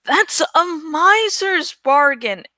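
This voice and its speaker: A woman's voice: very high voice, lively intonation, extremely slow, pushed and bright, no echo, full character voice. A woman voicing an earnest, stubborn young male villager in a storybook reading, speaking insistently. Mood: indignant. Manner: insistent, protesting.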